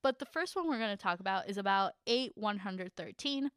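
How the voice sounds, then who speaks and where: A woman's voice: medium pitch, expressive, brisk, clean tone, no room sound. A woman co-hosting a casual podcast who steers the conversation from one segment to the next. Her voice sits in a middle range and she sounds eager throughout. The recording is dry and close. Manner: eager, leads the segments, laughs easily. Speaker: podcast co-host. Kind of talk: casual chat.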